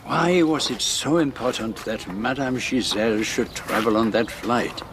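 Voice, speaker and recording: An actor playing a shrewd, courteous middle-aged detective with a French-speaking Belgian accent, speaking formally and deliberately in a radio drama. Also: male voice, mid-range voice, a bit bright, slight background noise, lightly acted. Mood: musing, shrewd.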